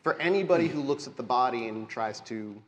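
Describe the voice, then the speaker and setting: Male game master, medium register, deliberate and theatrical narration for live tabletop play. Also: mid-range voice, expressive, highs cut off. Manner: deliberate, dramatic phrasing. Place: live convention stage show.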